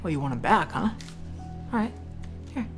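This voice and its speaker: An actor plays a smug male high school bully in a scripted moral drama. The delivery is cocky and taunting, with lively pitch swings. Light music runs underneath. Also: moderate pace. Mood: mocking. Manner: mocking, cocky.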